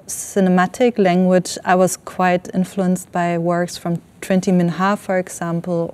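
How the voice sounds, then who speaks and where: Mellow, even-toned woman, native Farsi speaker. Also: on the low side, smooth. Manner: tentative, trailing, informal phrasing. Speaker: filmmaker on a panel. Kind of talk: casual, reflective panel talk.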